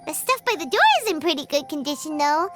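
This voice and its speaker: A woman voicing a small, excitable fairy companion in a video game, with a squeaky childlike voice and expressive delivery. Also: fast talker. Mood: puzzled. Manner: speaks of self in third person, expressive. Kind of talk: video game character dialogue. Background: music.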